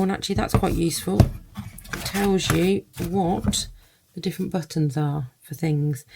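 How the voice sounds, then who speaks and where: A woman's voice: low voice, gravelly, a little mellow. A woman giving a casual first-look product review, thinking aloud in loose, hesitant phrases.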